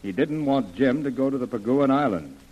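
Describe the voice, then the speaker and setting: An actor playing an earnest, troubled military major in a 1930s radio adventure serial. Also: male voice, mid-range voice, expressive, a little fast, highs cut off, close to an everyday voice. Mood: insistent explaining. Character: troubled, earnest major, a grown man explaining himself. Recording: old radio recording.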